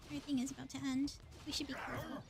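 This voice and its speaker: A woman's voice: high-pitched, level tone, very fast, vocal fry. A woman livestreamer giving casual gameplay commentary, thinking aloud in short bursts as she plays. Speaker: livestreamer playing a video game. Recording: music behind the voice. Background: music.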